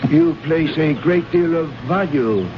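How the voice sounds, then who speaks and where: An actor playing a courteous, guarded alien native in an old radio drama, speaking in formal, precise phrases without contractions. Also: male voice, a little high, measured pace, natural voice. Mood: guarded, wary. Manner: formal, precise, uncontracted speech. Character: courteous, guarded male alien native, innocent yet shrewd. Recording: noisy, muffled old radio recording.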